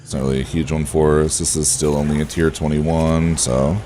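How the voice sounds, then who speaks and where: A man with a relaxed voice, chatting casually to viewers as he streams a game and thinks aloud. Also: rumbling low, normal speed, a little hiss or hum. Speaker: game livestreamer. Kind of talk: casual livestream chat over gameplay.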